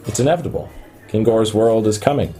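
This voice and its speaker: An actor playing an obsessive, wistful programmer in love, in a radio play. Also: male voice, fairly low pitch, brisk, warm tone, faint music behind, lightly acted. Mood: earnest.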